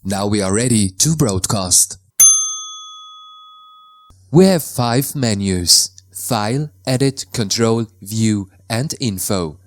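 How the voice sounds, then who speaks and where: A man from Switzerland, a native Swiss German speaker with a Swiss German accent, calmly explaining how to install and use software in a tutorial voice-over, with slightly non-native phrasing. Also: lowish voice, slow pace. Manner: careful, plain instructional delivery in slightly non-native English.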